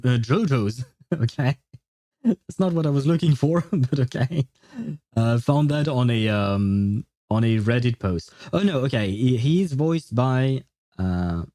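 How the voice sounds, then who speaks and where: A man's voice: mid-range voice, mellow, no room sound, studio quality. A man livestreaming casual reaction commentary on a game, thinking aloud in informal language.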